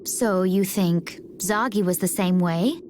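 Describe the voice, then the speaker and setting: A woman playing a composed, gently teasing young female warrior in a video game. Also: medium pitch, slow pace, slight background noise, natural voice. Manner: calm, teasing. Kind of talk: English video game voice acting.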